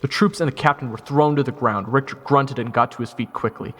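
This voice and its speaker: A man's voice: medium pitch, fairly even intonation, a little fast, fairly bright tone, close to an everyday voice. A man playing a wry soldier who narrates his own fantasy story in a matter-of-fact way. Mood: tense. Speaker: narrator of audio roleplay. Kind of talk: first-person scripted narration.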